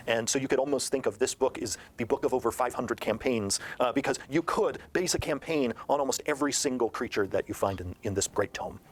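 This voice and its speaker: Male panelist, mid-range voice, explanatory delivery with long, example-filled thoughts in a promotional game discussion. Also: medium pitch.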